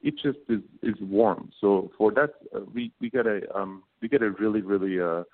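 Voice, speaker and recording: A man in his late thirties with a Turkish accent, a business co-founder speaking as a guest in a casual interview, halting, with many fillers and repeated words. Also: lowish voice. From Turkey. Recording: phone-like narrow band.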